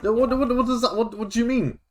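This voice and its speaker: A man's voice: very high voice, fast, a crowd in the background. A young man hosting a casual podcast, chatty, laughing often and tripping over his words. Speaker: podcast host. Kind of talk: casual chat.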